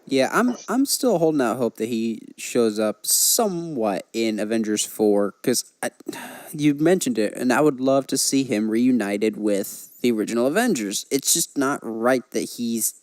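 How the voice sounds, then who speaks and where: An enthusiastic man co-hosting a podcast in casual film chat. He speaks at a middling pitch and gives his opinions freely, recorded dry with little room sound. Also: lively intonation, a little slow, hardly any pauses, no echo. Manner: opinionated, enthusiastic fan talk. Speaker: podcast co-host.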